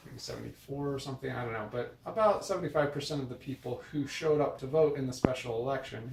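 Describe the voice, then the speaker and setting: A man's voice: medium pitch, gravelly. American man rambling casually in a roomy space. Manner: hesitant, meandering, self-correcting. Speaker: video blogger. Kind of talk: casual rambling personal vlog. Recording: roomy, distant mic with noise.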